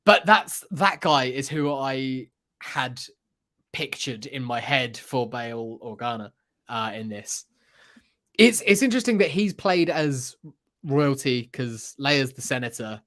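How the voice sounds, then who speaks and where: A man's voice: mid-range voice, lively intonation, takes it slowly, fairly bright tone. A man chatting casually about pop culture as a podcast host, hesitant and thinking aloud as he goes.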